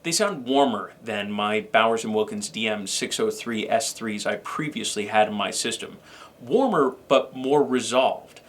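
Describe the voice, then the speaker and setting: A man co-hosting a product review, delivering scripted information in a measured, somewhat formal way. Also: mid-range voice, a bit bright. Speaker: show co-host and reviewer.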